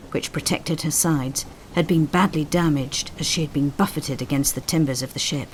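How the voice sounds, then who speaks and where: Low-pitched woman narrating a dramatic story. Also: slight background noise, low-quality recording. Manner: expressive, suspenseful storytelling. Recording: storm sound effects behind voice. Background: rain, thunder and storm effects.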